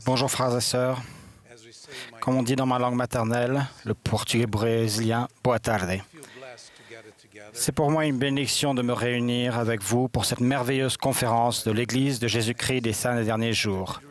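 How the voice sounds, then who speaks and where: A man interpreting a church conference address in a formal, measured way. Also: medium pitch, steady tone. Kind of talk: formal sermon delivered in translation.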